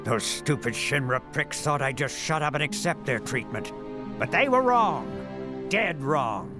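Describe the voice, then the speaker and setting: An actor playing a theatrical, embittered elderly city mayor and secret informant in a video game, with a pompous delivery. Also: male voice, medium pitch, very animated, measured pace, over music. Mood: bitter, indignant. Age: older. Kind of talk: video game cutscene acting.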